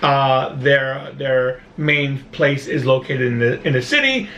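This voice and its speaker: Brooklyn-accented man, casual vlog chat, slow and halting, a bit distant from the mic. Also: medium pitch, lively intonation, fairly bright tone, echoey room. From Brooklyn, New York. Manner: halting, with frequent restarts and slow stretches. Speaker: vlogger. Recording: voice a bit off-mic, faint room noise.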